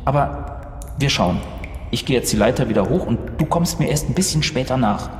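A man's voice: mid-range voice, animated, moderate pace. A man improvising casual in-character dialogue as a player in a role-play adventure. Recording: roomy, reverberant, faint background noise.

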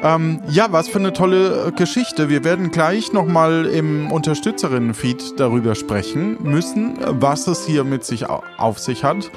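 Fairly high-voiced male podcast host, music behind. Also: lively intonation, moderate pace, few pauses. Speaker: host and game master. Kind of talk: casual podcast talk and narration.

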